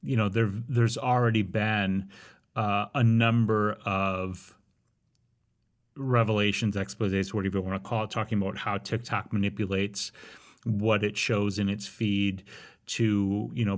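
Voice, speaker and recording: A man in his forties in casual podcast chat, candid and wry, often restarting his sentences. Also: lowish voice. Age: 40s. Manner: candid, rambling with frequent restarts, wry humor.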